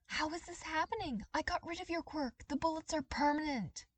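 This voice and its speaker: A woman's voice: normal speed, no room sound, lightly acted. A woman voicing a frightening male villain who is panicked and insistent, crying out in disbelief. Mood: shocked disbelief. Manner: shrill, incredulous, insistent shouting.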